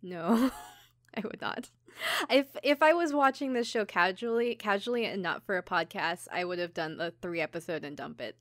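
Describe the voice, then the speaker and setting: A woman with a mid-pitched voice co-hosts a podcast in a casual, chatty way. She retells a story informally, slipping into quoted asides and filler words. The sound is dry and close, with no room echo. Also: normal speed, fairly bright tone. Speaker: podcast co-host recapping an anime episode. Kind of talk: casual chat, episode recap.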